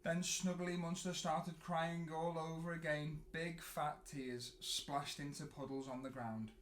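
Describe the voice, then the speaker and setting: A man with a slightly high voice reads a storybook to children. He is expressive, stretching words and pausing for drama. The recording is roomy and echoey, with some noise. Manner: animated, dramatic pauses and drawn-out words. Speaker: children's entertainer reading a storybook. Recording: roomy echo, clear background noise.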